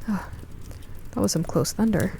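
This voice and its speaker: A woman's voice: medium pitch, moderate pace, gravelly, mellow, a little hiss or hum, lightly acted. A woman voicing a folksy, wry older woman who startles easily, in a radio drama. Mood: astonished.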